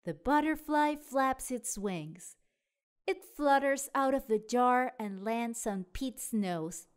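A woman tells a children's story with a high, very lively voice. She takes her time over each phrase and speaks expressively, as a storyteller does for small children. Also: slow, smooth. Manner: expressive, child-directed delivery. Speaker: storyteller and show host. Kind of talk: read-aloud children's storybook narration.

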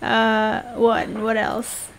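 Teen girl guest, kinda high voice, sleepy and deadpan jokey, faint hiss behind. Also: extremely slow, vocal fry. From Indonesia. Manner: dry, joking, laid-back. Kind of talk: casual interview. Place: small home studio lined with bookshelves. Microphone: studio mic on boom arm, wearing headphones.

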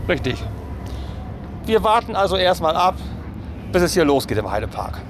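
A man's voice: sits high, sing-song, normal speed, background noise. A man in his forties with a German accent, presenting a casual family vlog outdoors to a handheld camera. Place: outdoors in an amusement park by a lake. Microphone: camera held at arm's length.

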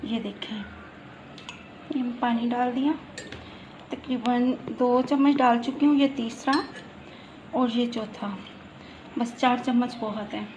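Woman, high-pitched, cooking demo host, patient step-by-step explaining, home kitchen, phone recording, faint clatter of dishes. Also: steady tone, slow, pauses often, a little mellow, slight background noise, low-quality recording. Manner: patient, explains each step while working.

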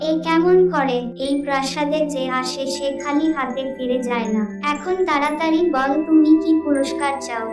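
A kind young cartoon princess , voiced by a woman, with a very high voice. She speaks formally and graciously. The sound is roomy, with music behind. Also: normal speed, hardly any pauses, natural voice. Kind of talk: children's fairy tale cartoon dub. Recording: roomy, distant voice under loud music.

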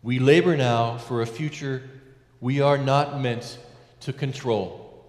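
A middle-aged man, a priest, preaching a sermon from a lectern in a large church, measured with emphatic rises and hushed endings. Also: mid-range voice, fairly even intonation. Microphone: lectern mic, far camera. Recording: echoey church with long reverb.

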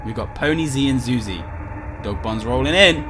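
A man in his thirties giving excited gaming reaction commentary and reading captions aloud at his desk. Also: medium pitch, moderate pace. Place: small indoor room with streaming setup. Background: music.

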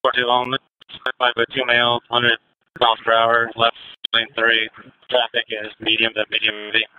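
A man's voice: medium pitch, completely flat delivery, brisk, smooth, muffled recording. A man working as a state patrol officer, giving terse, clipped reports over a police radio. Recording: noisy police scanner radio audio.

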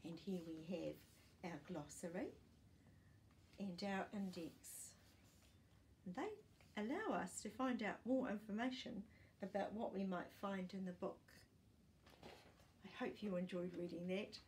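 A woman's voice: mid-range voice, slow, pauses often, darkish tone, a little hiss or hum. A woman in her sixties reads a children's fact book aloud as a storytime host, speaking carefully and simply for young listeners. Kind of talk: reading a children's non-fiction picture book aloud. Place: small living room, indoors. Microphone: camera a short distance away.

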